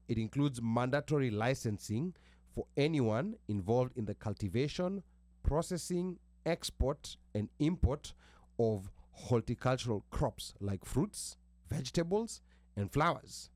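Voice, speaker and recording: An American man hosts a talk radio discussion, reading a passage aloud in halting bursts with frequent restarts. His voice sits at a middling pitch. The recording is dry and close. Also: lively intonation, darkish tone, dry recording. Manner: reads haltingly with restarts, asks about pronunciation. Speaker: radio show host.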